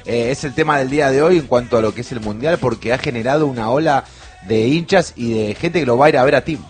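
A man's voice: medium pitch, quick pace, soft background music. A man with an Argentine accent co-hosting a radio show, explaining things with emphasis. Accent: Argentine Spanish. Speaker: radio co-host. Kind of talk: casual radio chat.

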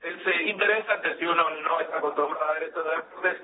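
A man's voice: a little high, fast, a crowd in the background. A man with an Argentine Spanish accent, a sports journalist on radio, explaining at length in an expansive way. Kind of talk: radio commentary. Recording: narrow phone-like radio sound.

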